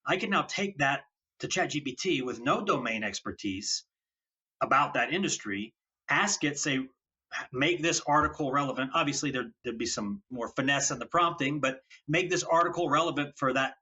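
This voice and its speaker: A man hosts a podcast interview in a husky voice with a bright, forward edge. He sounds enthusiastic and thinks aloud, repeating words as he goes. The recording is dull and lo-fi. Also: mid-range voice, expressive, moderate pace. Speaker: podcast host. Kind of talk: conversational interview.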